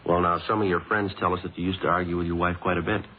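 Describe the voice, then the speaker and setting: An actor playing a dry, businesslike detective sergeant in a vintage radio police drama, clipped and deadpan. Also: male voice, sits low, fast, slight background noise, close to an everyday voice. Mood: probing. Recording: muffled old-time radio recording with music.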